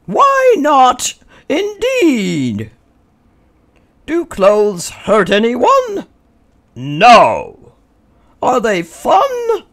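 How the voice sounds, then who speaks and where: A woman's voice: fairly high pitch, huge pitch swings, very slow, long pauses, voice pushed up, exaggerated voice. A woman voicing a heroic, regal old male mole rat grandpa, proclaiming grandly for a children's storybook. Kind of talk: children's storybook read-aloud.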